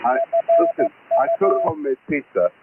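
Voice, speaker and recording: A man's voice: fairly high pitch, huge pitch swings, phone-quality sound. Man on a static-filled radio link. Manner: rambling, halting. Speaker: ham radio operator. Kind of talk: casual on-air chat.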